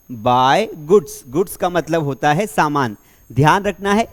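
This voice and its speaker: A young man teaching spoken English, asking the class questions and slowly repeating example sentences word by word. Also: high-pitched. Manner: energetic classroom delivery, slow dictation of example sentences; asks rhetorical questions and repeats answers. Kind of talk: spoken English lesson in Hindi.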